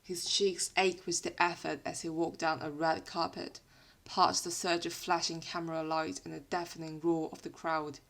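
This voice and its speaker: A woman who is not a native English speaker, with a British-influenced accent, reads fiction aloud in an expressive, literary storytelling manner. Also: low-pitched, normal speed. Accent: British-influenced non-native English. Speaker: podfic reader. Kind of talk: dramatic scripted fiction narration.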